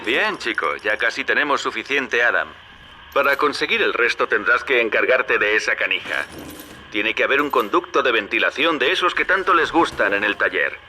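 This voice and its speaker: A man's voice: mid-range voice, lively intonation, moderate pace, bright, forceful tone, a little hiss or hum. A man with a Castilian Spanish accent playing a wily, folksy hustler in a video game, talking in a chummy, colloquial, paternal way. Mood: persuasive, chummy. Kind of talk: video game character acting.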